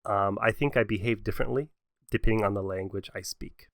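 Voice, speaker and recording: A young adult man co-hosting a podcast, talking in a relaxed, reflective way and laughing often. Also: fairly low pitch, a little fast, dry recording. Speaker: podcast co-host. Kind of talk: casual conversation.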